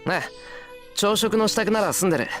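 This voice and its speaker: Anime schoolboy, blunt, earnest, protective, brisk pace, expressive pitch, visual novel game, background music. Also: male voice. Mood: matter-of-fact.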